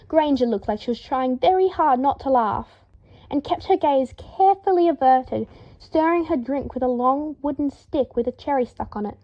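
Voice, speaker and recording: A woman reading fiction aloud as an expressive audiobook narrator, in a slightly dull lo-fi recording. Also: sits high. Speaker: fan fiction audiobook reader.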